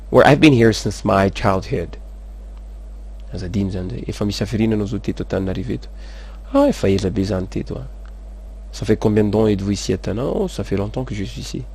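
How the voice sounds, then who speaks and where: A man teaching English, saying model sentences slowly and switching quickly into rapid explanations. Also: on the low side, animated, darkish tone. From Madagascar. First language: Malagasy. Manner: slow, careful model sentences between fast explanations. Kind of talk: language lesson, explaining in Malagasy and French.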